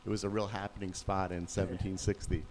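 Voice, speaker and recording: A man with a very low, deep voice speaks to a congregation. He tells his story in a relaxed, plain way, with faint noise behind him. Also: quick pace. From Pennsylvania, USA. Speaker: youth pastor. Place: church.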